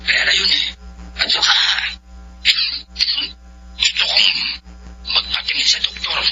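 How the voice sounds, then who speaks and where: A sharp, wheedling Filipino henchman. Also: takes it slowly, soft background music. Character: grasping, money-hungry male henchman, wheedling. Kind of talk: Filipino radio drama acting. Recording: muffled audio, faint noise.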